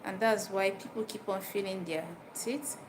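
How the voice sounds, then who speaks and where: Nigerian woman livestreaming over clear background noise. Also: mid-range voice, steady tone, normal speed. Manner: repeats phrases and puts questions directly to listeners. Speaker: livestream host giving dental health advice. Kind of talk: informal livestream talk, chatting with viewers. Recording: noisy live stream with background ambience.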